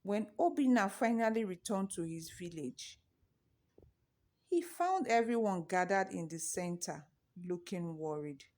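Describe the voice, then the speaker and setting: A woman narrating a scripted children's folktale with measured, deliberate phrasing. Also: lowish voice, darkish tone. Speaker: storyteller.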